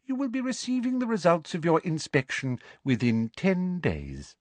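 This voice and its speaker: A man voicing a prim, sugary middle-aged female school inspector who speaks softly and menacingly. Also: fairly high pitch, very animated, normal speed, dry recording, pitched above the performer's own voice. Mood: silkily cold. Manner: sweet, soft and menacing.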